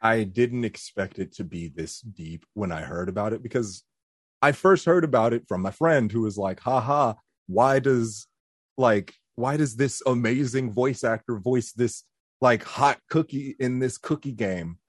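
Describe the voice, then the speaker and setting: A man's voice: medium pitch, unhurried, slightly rough, no echo, studio quality. A young man, a voice actor, chatting casually in an interview and laughing often. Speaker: voice actor guest.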